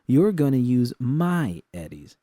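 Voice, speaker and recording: A man's voice: medium pitch, very animated, takes it slowly, mellow, no echo, pitched above the performer's own voice. A man voicing a tough, sceptical mercenary woman in an audiobook story, blunt, mocking and scornful in delivery. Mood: angry. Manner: blunt, mocking, foul-mouthed.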